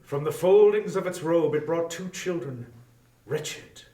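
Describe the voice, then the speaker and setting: A man narrating a literary story theatrically, with deliberate phrasing and weight on each listed word. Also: a little high, warm tone. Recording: echoey room, distant from mic.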